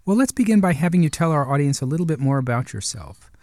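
A man hosting a university podcast, reading a guest's introduction briskly and asking interview questions. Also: fairly high pitch, animated, darkish tone, no echo, clean studio sound. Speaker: podcast host.

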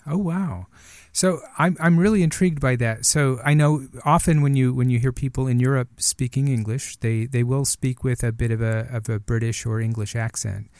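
A middle-aged man hosting a conversational interview, phrasing his questions in a measured, hesitant way with repeated words. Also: mid-range voice, a little mellow, dry recording, clean studio sound. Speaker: radio interview host.

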